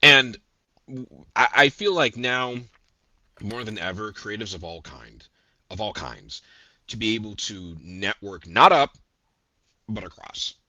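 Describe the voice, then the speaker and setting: A man talking as a guest voice actor in a casual interview, animated and rambling with lots of fillers. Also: lowish voice, unhurried, pauses often, dry recording, poor audio.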